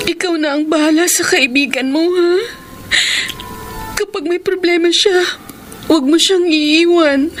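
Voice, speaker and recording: A woman's voice: extremely high pitch, a little slow. A woman acting a loving, self-sacrificing, heartbroken girlfriend in a sentimental radio drama, earnest, tender and plaintive. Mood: pleading, selfless. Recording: radio drama with music underneath.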